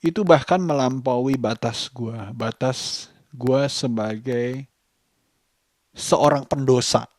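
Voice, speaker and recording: A man, giving a casual vlog monologue in halting, deliberate short phrases. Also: mid-range voice, a little mellow, no room sound, clean studio sound. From Indonesia. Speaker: vlogger.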